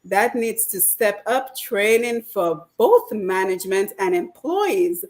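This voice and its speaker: A woman's voice: mid-range voice, lively intonation, slow pace. A woman hosting a radio talk show, posing questions to her guests and reading out viewer comments. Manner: reads out viewer comments, hands the floor to guests.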